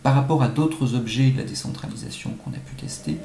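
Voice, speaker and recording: A man giving measured, analytical answers as an academic expert being interviewed, with some halting phrasing. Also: mid-range voice. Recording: echoey room, faint-to-clear background noise.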